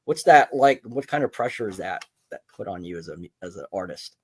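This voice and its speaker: A man's voice: low-pitched, lively intonation, fairly quick, slightly rough. A man hosting a casual interview, feeling his way through his questions and rephrasing as he goes. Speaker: interviewer, podcast host.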